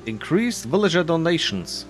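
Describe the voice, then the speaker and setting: A man's voice: fairly high pitch, big pitch swings, moderate pace. A man giving casual, rambling commentary on his own gameplay, with muttered, self-deprecating asides. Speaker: gamer narrating his playthrough. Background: faint game music.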